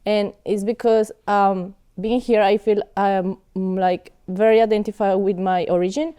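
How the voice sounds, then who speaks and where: Young woman, Spanish native speaker with a Colombian accent, smooth mellow voice, hesitant interview talk. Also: medium pitch, fairly even intonation, unhurried. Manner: hesitant, many fillers and restarts. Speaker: guest, student. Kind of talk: casual interview chat.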